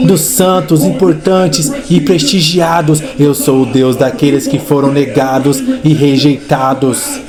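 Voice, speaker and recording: The high, urgent male preacher you would hear in a dubbed televised sermon, hammering phrases again and again over swelling music, as a man acts it out. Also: close to an everyday voice. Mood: fervent proclamation. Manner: emphatic, rhetorical repetition. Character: fervent male preacher exhorting a congregation. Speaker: voice actor dubbing a preacher.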